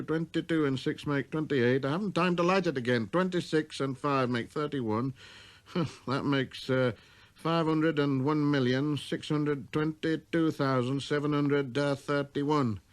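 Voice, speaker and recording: A man's voice: a little high, natural voice. A man voicing a busy, self-important middle-aged businessman in a storybook, irritable and muttering, hesitating over his figures. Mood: preoccupied.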